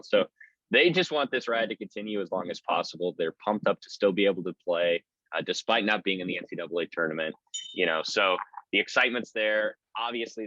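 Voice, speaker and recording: A man's voice: sits low, sharp tone, dry recording. A man who works as a play-by-play announcer, giving conversational sports analysis in an interview. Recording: slightly dull lo-fi audio.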